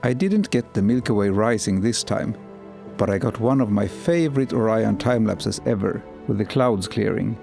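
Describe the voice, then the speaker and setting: A man with a cold and a sore throat, vlogging outdoors at night and thinking aloud with pauses and restarts. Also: fairly low pitch, gravelly, a little mellow, soft background music. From Sweden. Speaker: photography vlogger. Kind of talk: casual vlog narration.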